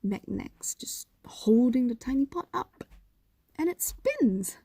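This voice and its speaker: A woman voicing a possessive, doting female fox demon in an intimate roleplay audio drama, coaxing and teasing in a soft, breathy voice that drifts into whispers. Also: sits high, very animated, takes it slowly, no room sound, exaggerated voice. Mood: doting, gentle.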